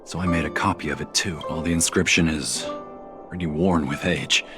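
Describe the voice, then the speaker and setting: An actor playing a dignified, courteous foreign ambassador in a video game, speaking formally and earnestly. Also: male voice, very deep voice, steady tone, brisk. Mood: reverent, earnest. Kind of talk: English video game voice acting. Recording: game music behind the voice.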